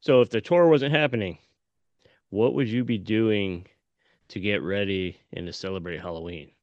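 Second host, male. Low pitch, muffled dry recording, casual chat. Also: normal speed, darkish tone, no room sound. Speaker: show co-host. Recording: dull, lo-fi sound.

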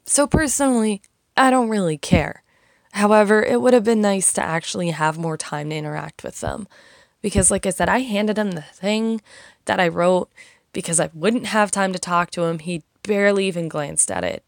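A woman's voice: mid-range voice, normal speed, vocal fry, no room sound. A young woman talking casually and frankly, rambling and swearing as she vents. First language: English. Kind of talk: casual monologue, venting.

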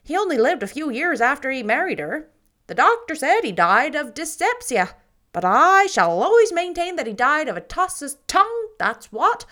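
A woman's voice: sits high, sing-song, normal speed, bright, forceful tone, pitched above the performer's own voice, heavily acted. A woman voicing a gossipy older woman who talks solemnly and emphatically, full of opinions. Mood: emphatic.

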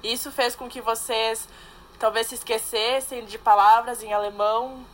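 A young woman interviewing guests, asking gentle, probing questions. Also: a little high, steady tone, moderate pace, poor audio. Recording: faint background ambience.

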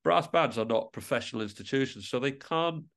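A man gives a thoughtful, conversational interview as a musician and composer. He talks reflectively at a middling pitch, often pausing mid-thought and rephrasing as he works out what he means. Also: lively intonation, creaky, a bit bright.

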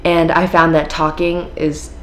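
Chatty low-voiced American teen woman podcaster. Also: level tone, unhurried, a little hiss or hum. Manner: chatty, filler-heavy, upbeat with frequent laughs. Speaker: podcast host. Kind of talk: casual solo podcast talk.

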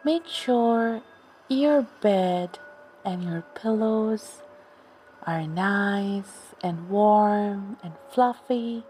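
A woman gently narrating a guided sleep meditation story for children, soothing and unhurried, with long pauses. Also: mid-range voice, slight background noise. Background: background music.

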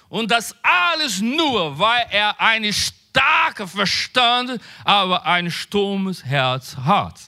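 A man preaching in a high, bright, forceful voice that swoops through a wide range. He delivers a sermon slowly and emphatically, drops into quieter asides, and often pauses mid-phrase to search for a word. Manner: halting, searches for words. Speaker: pastor.

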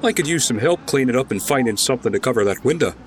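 An actor playing a gruff, impatient big man in an audio drama, speaking bluntly and curtly. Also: medium pitch, expressive, fast talker, lightly acted. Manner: blunt, curt.

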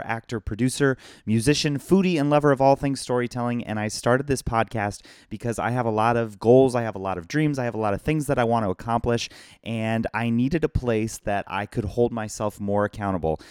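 A hoarse, tired man hosting a casual live-streamed podcast from his phone, rambling and chatty as he thanks viewers by name. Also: mid-range voice, a little fast, darkish tone, dry recording, clean studio sound. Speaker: podcast host.

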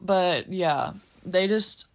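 Deep-voiced teenage girl, casual chat. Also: extremely slow. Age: teens. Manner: relaxed, rambling, frank. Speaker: podcast co-host, drive-thru worker.

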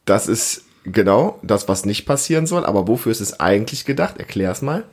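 A man around his thirties or forties hosting a casual family podcast, explaining things and gently prompting with questions. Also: lowish voice, animated, a little fast. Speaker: podcast host, father interviewing his child.

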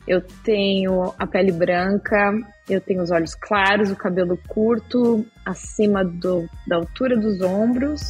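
Husky-voiced woman hosting a podcast. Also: medium pitch, fairly even intonation, a little slow, faint music behind. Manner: enthusiastic, welcoming. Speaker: podcast host. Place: at home.

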